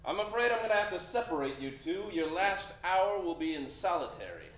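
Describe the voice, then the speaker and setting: Man playing a sharp, high-voiced bullying prison guard. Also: sing-song, brisk. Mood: taunting. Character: gruff, taunting, hated prison guard. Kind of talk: old-time radio drama acting. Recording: echoey stage, faint noise.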